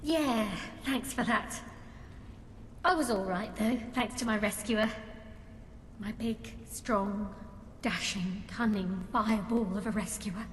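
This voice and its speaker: An actress playing a breezy, flirtatious wife with a sardonic, teasing manner in a video game cutscene. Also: female voice, mid-range voice, slow pace, natural voice. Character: breezy wife, sarcastic and flirtatious, freshly rescued. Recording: echoey hall with faint noise.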